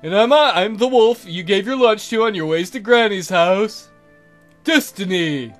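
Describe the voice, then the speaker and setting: A man's voice: sits high, unhurried, a bit bright, soft background music, voice pushed up. A man voicing a cocky, lecherous young wolf suitor in a visual novel, insistent and blustering.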